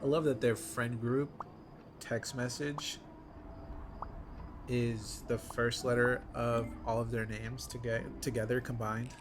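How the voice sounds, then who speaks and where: A man's voice: mid-range voice, flat delivery, takes it slowly, noisy background. A man giving casual, slangy playthrough commentary on a game as a streamer. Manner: informal slangy fan talk. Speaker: gaming streamer reacting to a visual novel. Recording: music playing behind the voice. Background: clear background music.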